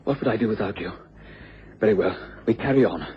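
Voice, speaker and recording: A polite schemer, muffled radio drama. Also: male voice, on the low side, a little fast, breathy edge. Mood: conspiratorial. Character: smooth-talking, scheming scientist's assistant and husband. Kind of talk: radio mystery drama acting. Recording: muffled radio recording with background noise.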